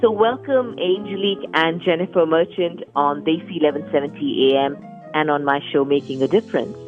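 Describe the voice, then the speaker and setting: A woman's voice: low voice, fairly quick, narrow, tinny audio, soft background music. A woman hosting a radio talk show, introducing guests in a chatty way that often restarts. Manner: chatty, repeats words and restarts.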